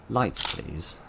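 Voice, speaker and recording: A man commentating his horror game playthrough in a chatty, wry way, with a voice that is getting hoarse. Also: noisy background. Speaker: gaming commentator. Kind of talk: let's play commentary.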